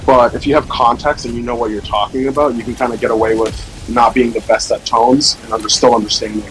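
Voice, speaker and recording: Guy on stream just chatting and explaining stuff, kinda rambly and halting, music going in the background. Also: medium pitch, steady tone, low-quality recording. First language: Somali. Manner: informal, hesitant, rambling explanations. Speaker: livestream host. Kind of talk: casual chat, explaining language learning. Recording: music behind the voice.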